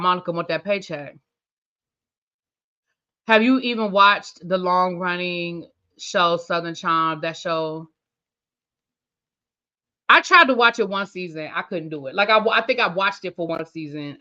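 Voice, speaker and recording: A woman host reads a magazine interview aloud on her review stream, stopping often for loose, chatty asides to her viewers. Her voice is bright and forward. The sound is a little dull and lo-fi. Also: on the low side. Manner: casual, chatty asides between read-out passages. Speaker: reality TV reviewer, channel host. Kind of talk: livestream review, reading an article aloud.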